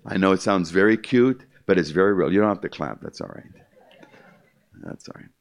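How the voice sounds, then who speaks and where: An older man preaching his testimony with a deep, low voice. His delivery is emphatic and repetitive as he keeps calling the listeners "my friend." There's a gravelly crackle on his words. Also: lively intonation, a little fast. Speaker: concert pianist giving testimony. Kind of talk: evangelistic testimony speech to a live audience.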